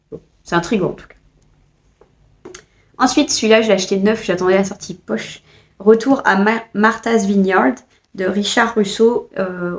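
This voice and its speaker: A French woman vlogger chatting casually about books she bought, hesitant with frequent fillers and restarts. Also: fairly low pitch. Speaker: book vlogger. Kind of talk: casual book haul chat.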